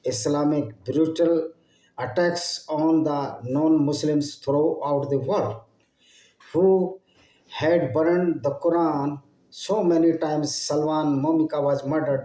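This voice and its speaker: A man from India with an Indian English accent and Hindi as his first language, addressing his channel's viewers in an impassioned solo monologue. He speaks halting English, often repeating and rephrasing his phrases. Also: on the high side, steady tone, warm tone, reverberant, poor audio. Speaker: channel host.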